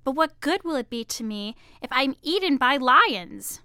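A woman's voice: sits high, lively intonation, unhurried, sharp tone, no echo, studio quality, voice pushed up, heavily acted. A woman reading aloud voices a timid female traveler who refuses to go any farther. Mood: fearful. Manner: fretful, stubborn.

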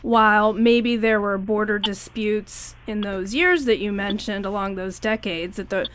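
A woman's voice: mid-range voice, unhurried, a bit bright, a little hiss or hum. A woman on a panel, speaking casually and hedging as she thinks aloud. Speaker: panel guest.

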